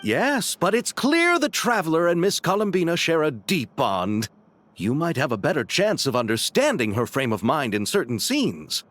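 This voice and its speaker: A man's voice: a little high, big pitch swings, normal speed, a bit bright, a little hiss or hum. A man playing an enthusiastic, courteous, flamboyant film crew leader in a video game, with formal phrasing. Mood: explaining.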